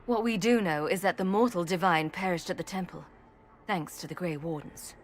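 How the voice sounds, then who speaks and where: An actress playing a sardonic, combative warrior heroine in a fantasy video game, dry and sarcastic. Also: female voice, fairly low pitch, moderate pace, a little hiss or hum, natural voice. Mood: accusing. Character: sardonic, combative adult female warrior hero.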